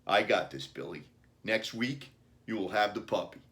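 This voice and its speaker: A man voicing a calm, reassuring father in a children's storybook, measured and firm. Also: fairly low pitch.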